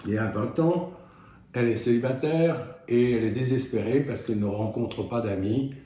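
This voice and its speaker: A man giving an explanatory self-help talk as a doctor, in a measured, didactic and halting way with pauses. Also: medium pitch, mellow. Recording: very echoey room, faint noise.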